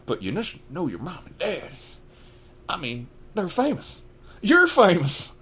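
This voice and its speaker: A man voicing a huge, gruff half-giant groundskeeper who talks loud and raised, with rough rustic speech. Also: medium pitch, animated, normal speed, slight background noise, voice pushed up. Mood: astonished.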